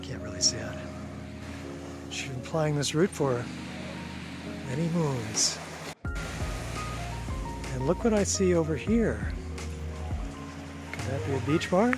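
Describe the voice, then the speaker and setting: A man's voice: on the high side, lively intonation, slow, long pauses, music in the background. A man casually narrating a travel vlog, wry and chatty, chuckling to himself. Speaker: travel vlogger.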